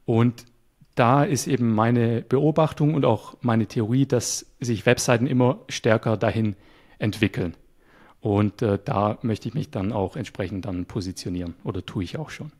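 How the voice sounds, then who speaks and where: A man as an interview guest, calmly explaining his work with a few fillers. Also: medium pitch, normal speed. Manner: calm, explanatory, some fillers. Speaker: guest, web developer. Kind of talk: casual interview chat.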